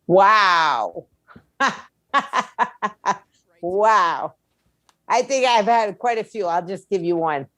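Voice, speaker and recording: A woman's voice: medium pitch, expressive, extremely slow, pauses often, fairly bright tone, no echo, clean studio sound. A woman giving candid, conversational advice as an interview guest, blunt and plain-spoken. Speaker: music attorney and label owner, interview guest.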